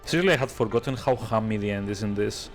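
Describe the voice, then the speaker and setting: A man's voice: lowish voice, fast, faint music behind. Sardonic man streaming a game. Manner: sardonic, exasperated. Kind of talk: casual live game commentary.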